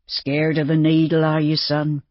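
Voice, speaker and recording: A reader voicing an older farmer, practical and steady, who tends animals and wounds. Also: medium pitch, a little slow, no echo, highs cut off, close to an everyday voice.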